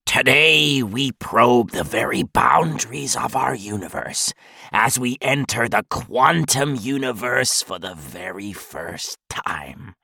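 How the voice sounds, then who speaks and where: An imperious old scientist, as a man puts him on, with a gravelly, husky rasp and a bright, pressed edge. He speaks slowly and snaps his commands, his pitch swooping up and down. The sound is dry and close, like a storybook reading. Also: expressive, no room sound, full character voice. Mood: grandiose. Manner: commanding, snapping. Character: stooped old male scientist, imperious and short-tempered.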